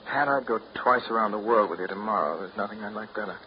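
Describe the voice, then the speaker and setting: A man's voice: fairly low pitch, fast talker, natural voice. An actor playing a moody, sentimental man in a 1930s radio drama, speaking in a clipped, understated, wistful way. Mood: wistful, earnest. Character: Jim, moody, sentimental man bound to his old family home. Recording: muffled, hissy 1939 radio broadcast.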